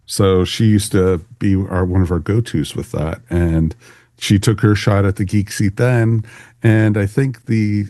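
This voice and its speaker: A man's voice: fairly low pitch, fairly even intonation, measured pace, mellow, dry recording, studio quality. A man hosting a podcast in a casual, chatty way, with a jokey, folksy manner. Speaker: podcast host.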